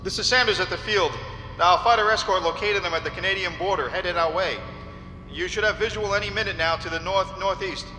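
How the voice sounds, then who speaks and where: A man playing a brisk military airfield radio controller in an audio drama, reporting in clipped phrases. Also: high-pitched, a bit bright. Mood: brisk, alert. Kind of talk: live audio drama acting. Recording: very echoey hall, faint music.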